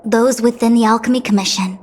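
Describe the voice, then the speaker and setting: A woman playing a dignified, burdened acting general and diviner in a game, speaking formally and with measured eloquence. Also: on the high side, fairly even intonation, lightly acted. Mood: serious, deliberate. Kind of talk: formal scripted game dialogue. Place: studio recording with music behind.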